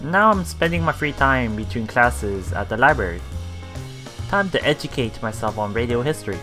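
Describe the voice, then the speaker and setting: A man doing casual let's play commentary, haltingly reading and paraphrasing story text aloud while thinking out loud. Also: mid-range voice, expressive, music in the background. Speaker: let's player.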